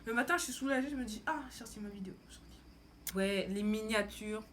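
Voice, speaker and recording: A woman's voice: fairly high pitch, a little fast, fairly bright tone, slight background noise. A young woman from France chatting casually as a vlogger, with an informal, slangy, rambling way of talking. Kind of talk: casual chat to camera.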